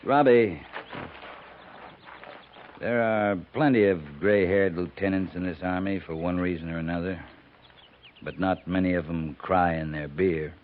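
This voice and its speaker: A steady, low-voiced cavalry captain. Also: male voice, a little slow, long pauses. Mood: earnest, encouraging. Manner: calm, plain-spoken, reassuring. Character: steady, loyal, fair-minded cavalry captain. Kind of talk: 1950s radio drama acting. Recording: tinny, noisy 1950s radio recording.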